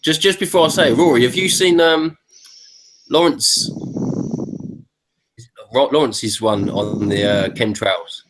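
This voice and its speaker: A man's voice: mid-range voice, unhurried, pauses often, background noise. A middle-aged man hosting a casual online hangout, talking earnestly and repeating words as he gathers his thoughts. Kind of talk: casual group chat.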